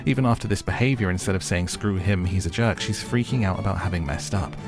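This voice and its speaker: A man's voice: sits low, fast talker. A man narrating an opinionated show recap as host, with wry, exasperated commentary and music underneath.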